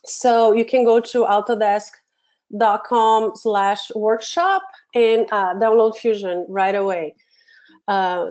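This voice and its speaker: A woman from Brazil with a Brazilian Portuguese accent, hosting a live software webinar from her home office and talking in a friendly way with many fillers and restarts. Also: on the high side. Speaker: webinar host, education program manager. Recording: dull lo-fi webinar audio.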